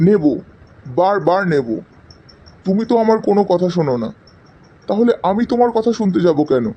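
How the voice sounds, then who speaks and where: A man's voice: high-pitched, expressive, fairly quick. A man voicing a hurt, defiant young man in love, in cartoon drama dialogue. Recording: slightly dull sound, faint noise.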